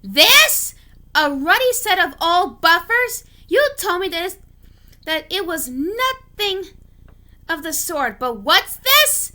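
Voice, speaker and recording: A woman voicing a boastful, sulky male steam engine in a storybook, with a loud, exclamatory manner. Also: very high voice, big pitch swings, slow pace, voice pushed up, full character voice. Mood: furious.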